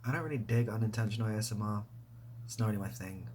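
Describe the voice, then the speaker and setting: Soft low guy doing casual asmr chat, kinda rambly, half whispering, noticeable hiss behind him. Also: quick pace. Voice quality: soft, breathy, often dropping to a whisper. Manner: self-deprecating, rambling and hesitant. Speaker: ASMR video creator and host. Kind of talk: soft-spoken ASMR, casual question-and-answer to camera.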